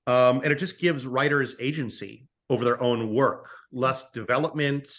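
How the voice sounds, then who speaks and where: Male interview guest, writer type, mid-pitched, conversational, with halting repeated words. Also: fairly bright tone. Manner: hesitant, repeats words and stammers as thoughts form. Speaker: podcast guest, screenwriter and audio drama writer. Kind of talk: casual interview talk.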